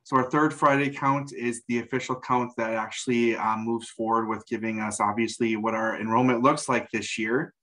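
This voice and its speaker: Forties male administrator, steady explanatory delivery with many fillers, webcam audio from home. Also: medium pitch. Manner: measured, frequent um and uh fillers. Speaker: school district administrator. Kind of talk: meeting report. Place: home room, indoors. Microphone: video call webcam.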